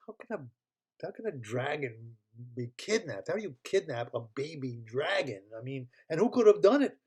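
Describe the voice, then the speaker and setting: A man's voice: high-pitched, very animated, fast, dark tone, voice pushed up. A man voicing a curious female puppy who wonders aloud and offers to help. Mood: puzzled. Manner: wondering, reassuring. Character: puzzled brave female puppy.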